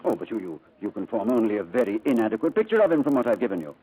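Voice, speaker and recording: An actor playing a gentle, excitable new father in 1940s radio style, eager and prone to stammering. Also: on the low side, very fast. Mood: proud, eager. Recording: noisy old radio recording.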